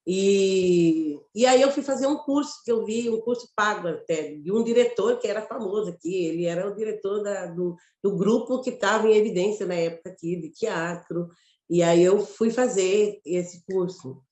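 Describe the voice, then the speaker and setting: A woman from Mato Grosso with a Mato Grosso Brazilian accent, an actress telling her story in an interview, reflective and halting, often restarting phrases. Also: medium pitch, darkish tone, reverberant. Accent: Mato Grosso Brazilian Portuguese. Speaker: actress and guest.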